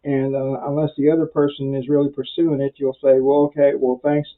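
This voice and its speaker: Casting: male hobby instructor, medium-pitched, folksy rambling delivery with halting pauses, heard through muffled lo-fi audio. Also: monotone. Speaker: amateur radio instructor. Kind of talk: informal tutorial for beginners.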